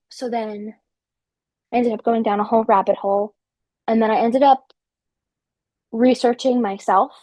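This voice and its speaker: A woman's voice: mid-range voice, darkish tone, dry recording. A woman in her twenties from New Jersey, a podcast guest telling her story in a chatty way, with many ums and likes and some technical terms. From central New Jersey. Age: 20s. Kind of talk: casual conversational storytelling.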